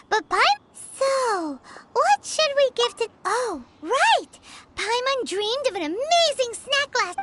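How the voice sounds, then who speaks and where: A woman voicing a small, excitable, naive fairy girl with a squeaky childlike voice in a video game. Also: full character voice. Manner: refers to self in third person, exclaiming.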